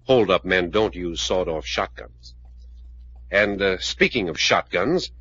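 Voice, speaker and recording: An actor playing a steady, blunt investigator in 1940s radio drama, laconic and plain-spoken, with an American accent. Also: male voice, low voice, measured pace, slight background noise, full character voice. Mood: cool, probing. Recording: dull old radio recording.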